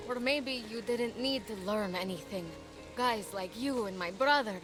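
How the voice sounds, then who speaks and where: A woman's voice: a little high, normal speed, close to an everyday voice. A woman playing an earnest, plucky young hero from Greek myth in a video game, casual and sincere in delivery. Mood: earnest, hopeful. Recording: music behind the voice.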